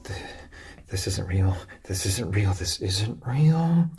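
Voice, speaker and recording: A jittery test subject in an audio drama, hesitant and bewildered. He speaks slowly and haltingly, his pitch swinging widely, with music clearly playing underneath. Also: lively intonation. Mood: agitated denial.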